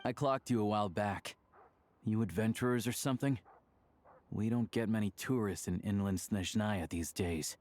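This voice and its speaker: A man's voice: lowish voice, moderate pace, darkish tone, close to an everyday voice. An actor playing a wry, world-weary townsman in a video game, with a laconic, easygoing manner. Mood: dry, resigned. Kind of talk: video game character dialogue.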